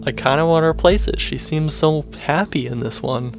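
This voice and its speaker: A man who is sick, sniffling and clearing his throat as he gives casual commentary on a game, reading on-screen text aloud in low-key asides. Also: moderate pace. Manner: low-key, muttering asides while clicking through menus. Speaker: let's play gamer. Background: game music.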